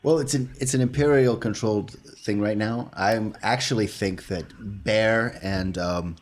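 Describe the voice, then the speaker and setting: Low-pitched man playing a tabletop game. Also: a little slow, a little hiss or hum. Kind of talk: casual game-table chat.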